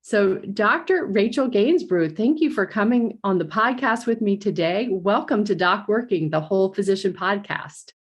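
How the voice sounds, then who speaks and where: A woman's voice: mid-range voice, expressive, normal speed, hardly any pauses, breathy edge. A woman hosting a podcast, introducing her guest and asking questions in an earnest, enthusiastic way. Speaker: podcast host.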